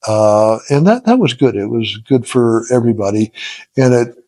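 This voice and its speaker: A man in his seventies talks reflectively as an interview guest, in a slightly husky voice. He is halting and self-deprecating, often pausing to find the word. The sound is dry and close. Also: mid-range voice, expressive, dark tone, dry recording. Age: 70s. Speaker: interview guest, retired business founder. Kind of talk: casual reflective storytelling in an interview.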